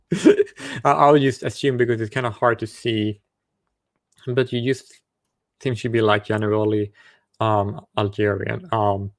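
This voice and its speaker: A man's voice: fairly low pitch, flat delivery, slow pace, pauses often. A man hosting casual video chats with strangers, asking curious questions and often stumbling and restarting his sentences. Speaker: online video chat host.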